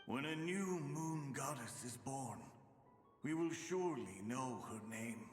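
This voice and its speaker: An actor playing the solemn, weary phantom of a devout man from long ago in a video game, grave and measured. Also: medium pitch. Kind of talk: video game character acting. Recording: long ghostly reverb, music behind.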